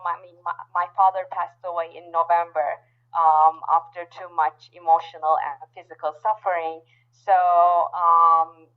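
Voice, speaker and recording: A woman in her forties, originally from Turkey, talks over a tinny phone line. Her voice sits a little low, and she speaks in a hesitant way, full of ums and false starts. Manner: hesitant, many fillers and restarts. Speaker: guest co-author, health coach. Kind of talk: casual interview chat.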